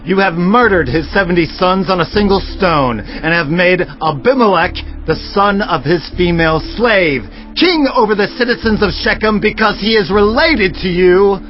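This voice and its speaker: An actor playing an indignant young brother addressing a crowd in a solemn, declamatory way in a dramatized Bible audio production. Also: male voice, high voice, normal speed, few pauses, close to an everyday voice. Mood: accusing. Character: Jotham, indignant youngest son reproaching a crowd with a parable. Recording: dull lo-fi sound, music behind.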